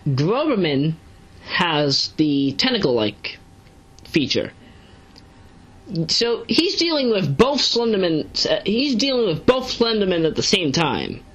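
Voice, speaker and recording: Rambling woman with lively swings in pitch. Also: slightly rough, rough recording. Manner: rambling, halting, self-correcting. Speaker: online video reviewer and narrator. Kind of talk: casual unscripted commentary recapping a web series.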